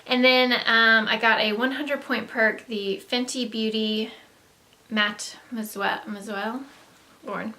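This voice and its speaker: A woman's voice: a little high, fairly even intonation, measured pace, few pauses, bright, forceful tone. A woman with a Southern US accent, chatting casually to camera as a beauty vlogger going through products. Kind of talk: casual chat to camera, product haul review.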